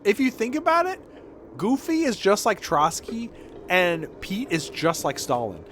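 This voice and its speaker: A man's voice: sits high, very animated, unhurried, a bit bright, a little hiss or hum. A man doing casual, rambling livestream commentary while playing a game, sardonic and prone to swearing.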